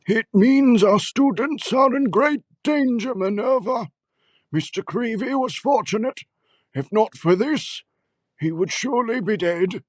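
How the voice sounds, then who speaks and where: A man playing a grave old wizard headmaster in an audio drama, solemn and measured. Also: very high voice, lively intonation, dry recording, heavily acted.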